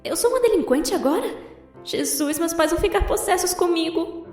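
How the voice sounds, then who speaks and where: High-pitched Brazilian woman narrating a story. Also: fairly quick, faint music behind. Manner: expressive, theatrical, sarcastic. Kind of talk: scripted animated storytime narration, fan dub.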